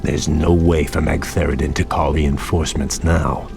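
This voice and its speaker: Low-voiced, noble young elf prince. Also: male voice, level tone, moderate pace, creaky. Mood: proud, satisfied. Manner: noble, formal, earnest. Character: loyal, eager young elven prince. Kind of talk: dramatic fantasy game cutscene acting. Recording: dramatic music under the voice.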